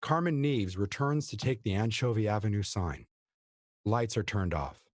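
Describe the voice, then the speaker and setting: A man reads stage directions in a husky, lowish voice. His pitch moves in a lively way across each line, and the sound is close and dry. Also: normal speed, dry recording. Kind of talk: scripted narration of a play.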